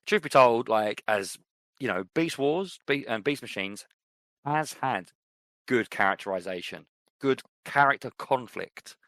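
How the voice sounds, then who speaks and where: A man's voice: medium pitch, expressive, unhurried, sharp tone, no room sound. A man co-hosting a podcast in casual chat, opinionated, with many restarts and fillers. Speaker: podcast co-host.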